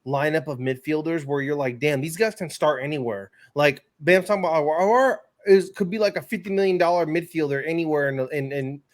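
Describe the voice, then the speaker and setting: A man's voice: a little high, brisk, hardly any pauses. A man co-hosting a casual soccer podcast, giving emphatic, slangy opinions in conversation. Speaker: podcast co-host and soccer pundit. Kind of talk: casual sports discussion.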